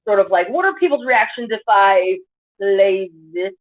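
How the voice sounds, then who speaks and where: A woman pole dance instructor telling stories on a panel, animated with quick asides, heard over a video call. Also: medium pitch. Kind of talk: casual panel discussion. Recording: tinny phone-like video call audio.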